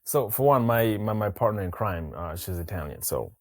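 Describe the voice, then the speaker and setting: A man with a very low, deep voice hosts a relaxed podcast conversation. He talks in a halting way, stopping and restarting his sentences often. The sound is dry and close, with little room echo. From Mozambique. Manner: halting, many restarts and fillers. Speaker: podcast host. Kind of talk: casual interview chat.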